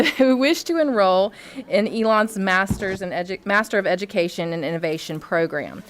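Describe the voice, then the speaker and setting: A woman's voice: mid-range voice, a bit bright. A woman presenting recognitions at a school board meeting in an auditorium, friendly and formal, with frequent fillers and restarts. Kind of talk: formal public address with casual asides.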